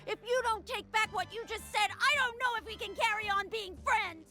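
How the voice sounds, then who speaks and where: A woman's voice: very high-pitched, normal speed, over loud music. A woman voicing a sensitive young village girl who is loyal, idealistic and indignant, in a video game dub. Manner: indignant, pleading, childlike.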